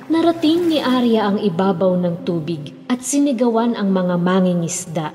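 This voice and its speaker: A woman's voice: mid-range voice, expressive, moderate pace, mellow, a little hiss or hum. A woman narrating a children's fairy tale as a storyteller, in a scripted style. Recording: long reverb, music behind.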